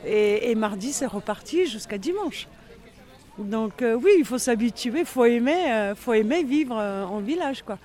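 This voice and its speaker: A man's voice: extremely high pitch, expressive, a little slow, darkish tone. A man interviewed about village life, talking casually and hesitating with many fillers. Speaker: villager interviewed on local radio. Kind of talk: street interview, casual talk.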